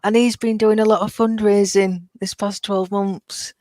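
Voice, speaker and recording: A woman's voice: mid-range voice, flat delivery, normal speed, a little mellow, dry recording. A woman talking casually as a panelist on a fan podcast. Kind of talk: casual chat.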